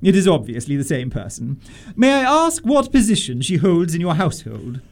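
Snobbish dowager, commanding and haughty, very animated intonation, radio comedy. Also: female voice, on the low side, moderate pace. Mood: haughty, suspicious. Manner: haughty, commanding, grandly emphatic. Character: imperious, snobbish older aristocratic lady. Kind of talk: stage comedy radio acting.

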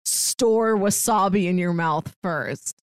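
A woman's voice: mid-range voice, takes it slowly, smooth, dry recording. A woman co-hosting a podcast in casual chat, with a wry, dry-witted way of talking. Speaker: podcast co-host.

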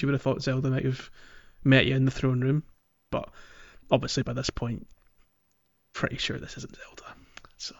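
A man's voice: medium pitch, lo-fi sound. A man hosting a podcast, chatting casually and explanatorily through a video game playthrough. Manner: conversational, explanatory. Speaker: podcast host. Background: clear music.

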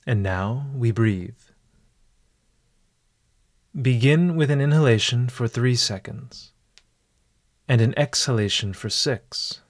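A man narrating a scripted guided meditation as host, with formal, deliberate, ornate phrasing. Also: medium pitch, no echo, studio quality.

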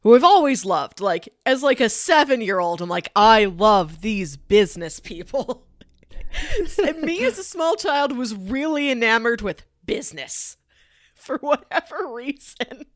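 A woman's voice: fairly high pitch, sing-song, measured pace, no room sound, clean studio sound. A woman co-hosting a podcast, chatting casually and enthusiastically as she analyses films. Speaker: podcast co-host. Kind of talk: casual film discussion chat.

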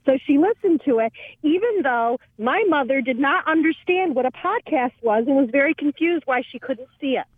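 A woman's voice: high-pitched, animated, moderate pace, no echo. A woman in her forties on a podcast panel, matter-of-fact and arguing from facts. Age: 40s. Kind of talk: casual panel chat. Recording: tinny phone-like dry sound.

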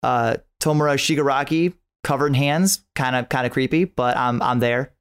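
A man with a clear, mid-pitched voice speaks as a podcast guest. He is a keen fan talking casually, often restarting and repeating his phrases. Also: moderate pace.

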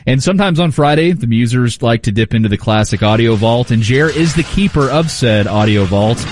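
A man's voice: medium pitch, lively intonation, fairly quick. A man hosting a radio show in a casual, chatty style.

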